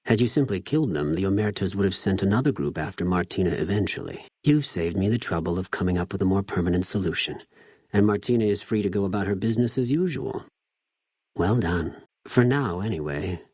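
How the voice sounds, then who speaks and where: An actor playing a cold, cunning spy of a Roman-styled slaver army in a video game, speaking in a measured, formal, menacing way with precise diction. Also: male voice, low-pitched, lively intonation, darkish tone, slightly rough, dry recording. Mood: cool, calculating. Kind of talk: scripted video game character dialogue.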